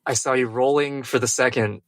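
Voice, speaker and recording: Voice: mid-range voice, fairly even intonation, moderate pace, dry recording. A tabletop game player chatting casually after a session, informal and full of exclamation. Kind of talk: casual post-game chat.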